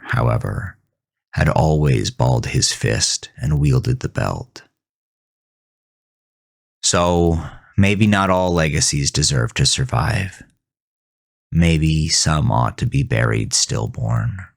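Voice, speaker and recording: Male actor as bitter haunted farmer, extremely low voice, slow deliberate delivery, grave and brooding, horror audio drama narration. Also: gravelly, full character voice. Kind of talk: scripted first-person horror narration.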